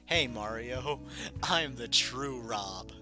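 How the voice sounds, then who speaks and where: A man's voice: medium pitch, animated, takes it slowly, music in the background. A man voicing an earnest male robot hero, speaking in a plain, matter-of-fact way close to his own voice. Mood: explaining.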